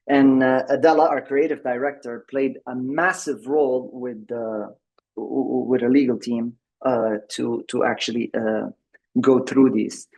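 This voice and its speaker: A man's voice: medium pitch, steady tone, a little slow, darkish tone. Guy presenting his app on a video call, kinda hesitant, lots of uhs and restarts. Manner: hesitant, many restarts and fillers. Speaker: tech presenter. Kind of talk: conference presentation.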